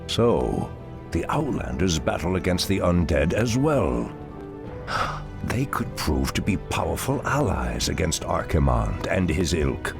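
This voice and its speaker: An actor playing an ancient night elf archdruid in a fantasy game, with grave, formal, commanding speech. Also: male voice, very animated, unhurried, heavily acted. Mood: grave, measured. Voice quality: very deep, gravelly. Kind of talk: dramatic fantasy game acting. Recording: orchestral game music behind voice. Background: loud music.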